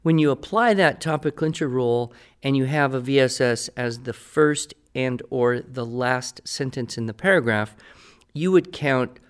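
Guy teacher on a podcast, average pitch, playful but explains stuff step by step. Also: a little slow, smooth. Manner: didactic, playful, spells out examples step by step. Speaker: writing teacher answering listener questions.

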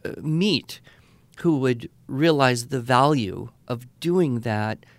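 Middle-aged man telling relaxed classroom anecdotes. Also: medium pitch, takes it slowly, dry recording. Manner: relaxed anecdotal, frequent "uh" and "you know". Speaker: podcast co-host, writing educator. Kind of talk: casual conversational storytelling.

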